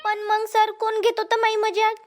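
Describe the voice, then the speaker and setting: A woman's voice: very high-pitched, monotone, moderate pace. A woman with a Vidarbha Marathi accent voicing a frightened, pleading village housewife in a cartoon comedy, with a plaintive manner. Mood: anxious. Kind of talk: amateur cartoon comedy voice acting.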